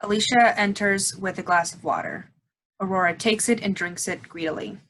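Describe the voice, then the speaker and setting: A woman's voice: mid-range voice, normal speed, a bit bright, rough recording. A woman reading stage directions as scripted narration, with slight noise in the recording.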